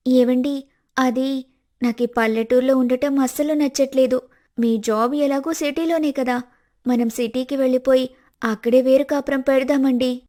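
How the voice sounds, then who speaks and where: A woman's voice: sits high, steady tone, moderate pace, warm tone, lightly acted. A woman voicing a selfish, scheming young wife in a cartoon story, whiny and spiteful. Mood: wheedling.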